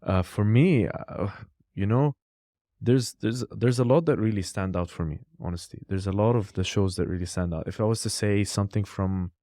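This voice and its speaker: A man in a relaxed podcast chat with a deep, mellow voice. His delivery is loose and conversational, full of "like" and "you know", and the sound is dry and close. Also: normal speed, no room sound. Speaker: podcast co-host and co-founder.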